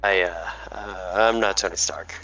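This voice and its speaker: A man reading the part of a smooth, genial senior businessman in an amateur table read, measured and a little hesitant. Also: deep voice, fairly even intonation, pushed and bright, distant mic, exaggerated voice, low-quality recording.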